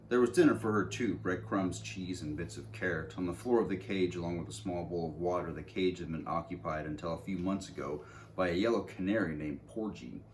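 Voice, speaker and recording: Low-voiced man reading a storybook aloud. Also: a little mellow. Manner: informal, stumbles and rereads phrases. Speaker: read-aloud narrator. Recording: faint background noise.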